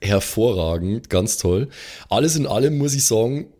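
A man with a fairly low-pitched voice talks in a relaxed, conversational way. His wording is colloquial and slangy, as a game master chatting with his group. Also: normal speed. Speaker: game master on an actual-play podcast. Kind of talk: casual chat, looking back on a game.

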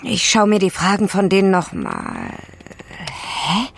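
A woman playing an earnest, guilt-laden astronaut in an audio drama, subdued but determined, with a husky voice. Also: medium pitch, huge pitch swings, a little slow, full character voice. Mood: pleading. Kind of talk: naturalistic audio drama dialogue.

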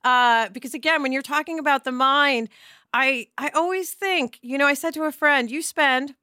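Bright-voiced woman co-hosting a podcast. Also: sits high, moderate pace, no room sound, studio quality. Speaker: podcast co-host. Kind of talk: scripted intros and casual conversational talk.

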